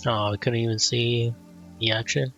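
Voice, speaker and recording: A man with a mid-pitched voice reacts casually as he plays a game. His remarks are short and low-key, on a slightly dull mic with faint music behind. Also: level tone, normal speed. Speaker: gaming video creator. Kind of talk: casual let's play commentary.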